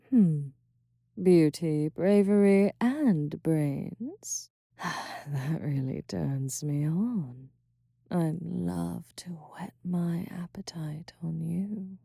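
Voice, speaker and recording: A woman playing a purring gravelly succubus. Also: lowish voice, dark tone, no room sound, clean studio sound, full character voice. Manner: purring, mocking, slow whispers. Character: sultry seductive female succubus, cruel and teasing. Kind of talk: ASMR audio roleplay.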